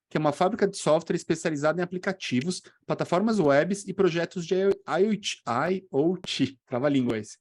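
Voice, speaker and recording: A man's voice: a little high, darkish tone. A man with a Brazilian Portuguese accent presenting a podcast in a conversational style. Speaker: podcast host, orthopedic doctor.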